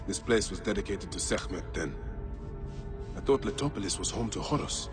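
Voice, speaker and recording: An actor playing a brooding, vengeful warrior of ancient Egypt, solemn and deliberate, in a video game cutscene. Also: male voice, sits low, expressive. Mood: grim musing. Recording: music under the voice. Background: loud cinematic music.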